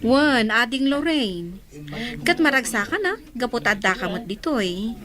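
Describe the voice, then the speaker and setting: A frank, protective Filipino big sister played by a woman in a radio drama. Her voice is high and swings in lively, animated melody. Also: measured pace, crowd noise. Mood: welcoming.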